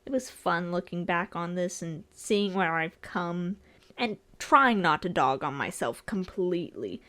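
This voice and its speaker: A woman's voice: medium pitch, a bit bright. A woman narrating her own art video as a casual commentary, informal and lightly self-deprecating, with a joking touch. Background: music.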